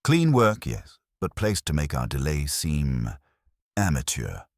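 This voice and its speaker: A man's voice: extremely low pitch, very animated, unhurried, no room sound, clean studio sound, full character voice. A man voicing an earnest, defensive technician's assistant in a mystery story, explaining plainly in clipped phrases.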